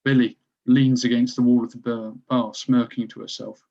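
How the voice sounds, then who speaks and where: A man's voice: mid-range voice, level tone, normal speed, vocal fry, darkish tone, highs cut off. A man reading stage directions as scripted narration for a screenplay table read.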